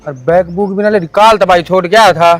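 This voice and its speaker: A man's voice: high-pitched, fairly even intonation, brisk, faint music behind, close to an everyday voice. An actor playing an exasperated, teasing rustic village man in a comedy sketch, complaining colloquially in a Haryanvi accent.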